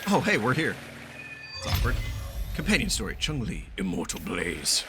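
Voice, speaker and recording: Male let's-play streamer: playful, self-deprecating, expressive, game music underneath. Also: on the low side, moderate pace. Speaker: gaming streamer.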